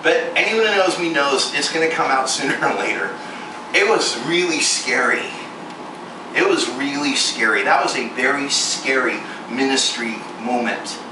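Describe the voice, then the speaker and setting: A man in his forties giving a candid, self-mocking personal testimony sermon, with sudden jumps in pitch for emphasis. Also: normal speed, recorded from a distance. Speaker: ministry performer speaking to a congregation. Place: large echoey venue room with air conditioning hum. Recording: echoey room recorded from afar with loud air-conditioner hiss.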